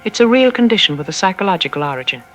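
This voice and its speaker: A woman's voice: medium pitch, expressive, very fast, lightly acted, low-quality recording. Woman acting as a lady psych expert on a radio drama, sure of herself, lecturing, bit dismissive, music underneath. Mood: emphatic. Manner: didactic, confident, articulate. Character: self-assured female psychologist, calmly dismissive expert guest. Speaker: expert interview guest. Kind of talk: radio drama interview, measured explanatory delivery. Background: background music.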